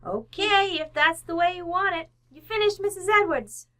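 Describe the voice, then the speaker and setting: A woman's voice: very high voice, lively intonation, normal speed, pushed and bright, heavily acted. A woman acting a brash, nosy, slangy housekeeper in an old-time radio comedy, blunt and overly familiar. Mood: brash, nonchalant.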